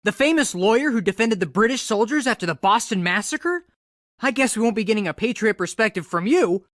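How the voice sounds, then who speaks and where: An actor playing an earnest, eager young colonial American reporter in a game, speaking clearly in an explanatory manner. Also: male voice, sits high, fairly bright tone, no echo. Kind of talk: scripted game character dialogue.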